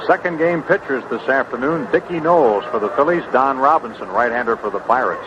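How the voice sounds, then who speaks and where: American baseball announcer, muffled vintage broadcast. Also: male voice, medium pitch, lively intonation, brisk, background noise. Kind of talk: live sports commentary. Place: stadium broadcast booth.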